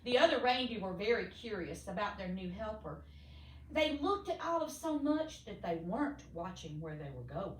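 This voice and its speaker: Animated woman reading a children's story. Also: medium pitch. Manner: theatrical, deliberate emphasis for young listeners. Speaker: storybook reader. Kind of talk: expressive children's story read-aloud. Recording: echoey room, distant mic, faint noise.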